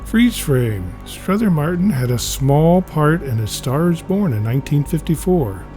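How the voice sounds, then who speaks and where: Mellow-voiced man reading aloud. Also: fairly high pitch, very animated, a little slow, soft background music. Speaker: reader narrating a comic aloud. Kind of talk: scripted narration, reading a memoir-style comic.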